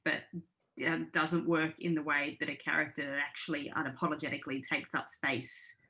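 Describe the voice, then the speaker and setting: A woman with a low-pitched voice speaks as a podcast panelist. Her talk is casual and reflective, like an informal review discussion. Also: level tone, a little fast, pushed and bright.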